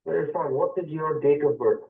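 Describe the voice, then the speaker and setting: A man's voice: a little high, moderate pace, gravelly, slightly rough. Man on tinny radio, mixing Hindi. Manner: mixes Hindi phrases into English, explains charts patiently. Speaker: radio astrology show host. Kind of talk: call-in radio consultation. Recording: tinny phone-line sound.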